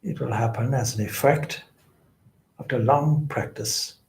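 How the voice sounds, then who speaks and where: Slow-paced man guiding meditation, echoey room. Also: medium pitch, vocal fry, a little mellow. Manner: deliberate, measured phrasing with pauses. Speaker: meditation teacher, swami. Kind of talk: guided meditation, spiritual instruction.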